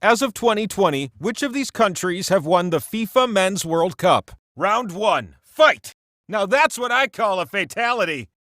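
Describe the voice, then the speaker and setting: An exuberant, grandiose game-show wheel hosts a video game quiz in a high voice that swings freely up and down. The delivery is theatrical and playful, full of mock-grand flourishes and quirky asides, in a dry, close recording. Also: male voice, fairly bright tone, dry recording. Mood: excited, playful. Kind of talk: scripted game show hosting.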